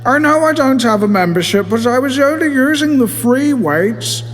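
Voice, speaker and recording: Man putting on a high, whining young office slob. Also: animated, normal speed. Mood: tearful whining. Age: 20s. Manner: whining, plaintive crying. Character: whiny, self-pitying overweight young office worker. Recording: long reverb, music behind.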